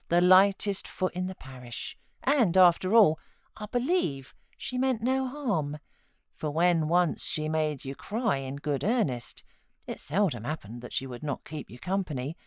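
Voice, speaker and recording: A British woman narrating a classic novel as an audiobook reader, expressive and dramatic in her storytelling. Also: low voice, dry recording, studio quality. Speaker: audiobook narrator, voiceover artist.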